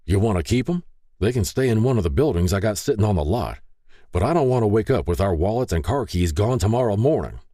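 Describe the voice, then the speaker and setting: A man voicing a gruff, folksy older small-town husband who grumbles, in an audiobook. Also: fairly low pitch, animated, fast talker, warm tone, dry recording, studio quality, voice pushed up. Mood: reluctant, firm.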